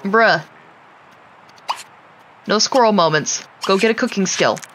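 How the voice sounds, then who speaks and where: A woman's voice: medium pitch, slow pace, fairly bright tone, slight background noise. A woman giving casual, wry gameplay commentary, talking to her game characters as she plays. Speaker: gaming streamer.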